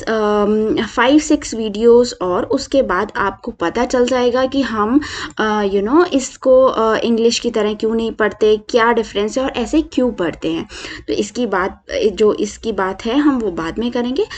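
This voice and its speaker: Slow-speaking woman teaching a lesson. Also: medium pitch. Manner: slow, explanatory, mixing Hindi and English with fillers. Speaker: French language teacher. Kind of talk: online lesson.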